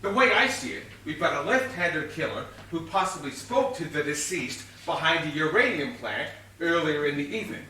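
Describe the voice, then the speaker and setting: An actor playing a bumbling, overconfident police inspector in a comic stage whodunit, blustering and slangy in manner. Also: male voice, high-pitched, sharp tone. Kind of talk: comic stage play acting. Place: theatre stage, mic far off. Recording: distant, echoey theatre stage recording, faint hiss.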